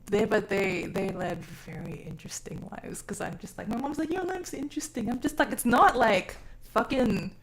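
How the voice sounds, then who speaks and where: A woman from South Africa who grew up mostly abroad talks to her live stream viewers. Her delivery is casual and expressive, with an informal, sweary way of putting things. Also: on the high side, moderate pace. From born in South Africa, grew up mainly overseas from age six. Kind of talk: casual chat.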